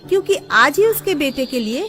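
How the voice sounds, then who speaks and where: A woman narrating a scripted moral story in an expressive storybook delivery. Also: high voice, moderate pace. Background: background music.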